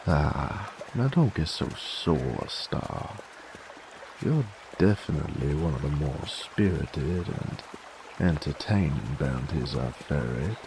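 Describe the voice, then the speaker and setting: Gruff Western bounty hunter played by a male actor, rock-bottom gravelly voice, unhurried American frontier drawl, folksy and teasing, for roleplay audio drama. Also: extremely low pitch, expressive, noisy background, full character voice. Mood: teasing, admiring. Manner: folksy, drawling, slow and wry.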